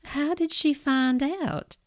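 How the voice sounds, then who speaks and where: An actress playing a gracious, sympathetic woman in an audio drama, with a gentle, concerned manner. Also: high voice, takes it slowly, no room sound, studio quality. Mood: concerned, consoling.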